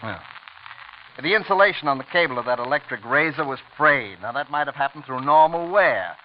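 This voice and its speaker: An actor plays a young, unscrupulous prosecutor in a vintage radio crime play. He talks bluntly, with smug, needling confidence. The old recording is muffled and clearly noisy. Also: mid-range voice, lively intonation, a little fast, close to an everyday voice. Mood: accusing, confident. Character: clever, brash, unscrupulous young male assistant district attorney. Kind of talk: 1940s radio drama acting.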